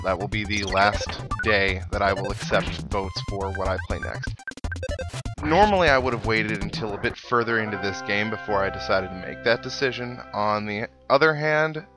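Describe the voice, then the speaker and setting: A young man giving casual, rambling video game commentary with reflective asides. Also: lowish voice. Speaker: let's play host. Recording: voice-over mixed with game music. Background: video game music.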